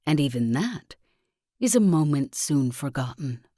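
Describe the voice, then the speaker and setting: A woman playing a solemn religious leader delivering a measured sermon in a video game. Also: low-pitched, big pitch swings, mellow, no room sound, studio quality, full character voice. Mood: solemn, reverent. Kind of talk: sermon, scripted game voice-over.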